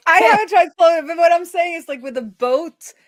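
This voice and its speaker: A woman's voice: extremely high pitch, dry recording, studio quality. A woman co-hosting a casual livestream chat in an enthusiastic, playful manner. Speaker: livestream co-host.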